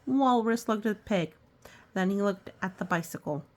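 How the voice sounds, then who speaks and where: A woman's voice: mid-range voice, expressive, moderate pace, darkish tone. A woman reading a story aloud, with reassuring, musing, chatty asides as if to children. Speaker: storytime reader.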